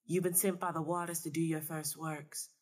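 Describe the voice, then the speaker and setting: A woman's voice: sits low, flat delivery, normal speed, darkish tone. A woman voicing a knowing Southern woman seer in a story, folksy, solemn and assured. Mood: amused, knowing.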